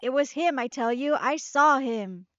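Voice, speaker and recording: A woman's voice: high-pitched, expressive, unhurried, a bit bright, dry recording. A woman voicing a shifty, nervous male witness who stammers and blusters defensively, over slightly muffled game music. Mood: panicked.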